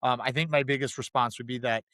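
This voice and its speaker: A man's voice: medium pitch, steady tone, fast, a bit bright, dry recording, clean studio sound. A man co-hosting a podcast in casual film discussion, informal, jumping in and repeating phrases for emphasis. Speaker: podcast host.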